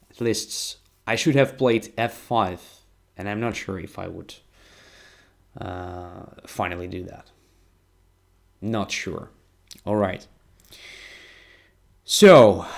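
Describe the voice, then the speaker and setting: A man with a Ukrainian accent commentating live on chess, thinking aloud in short, halting phrases. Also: lowish voice, vocal fry. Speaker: chess streamer and international master. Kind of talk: live game commentary and analysis.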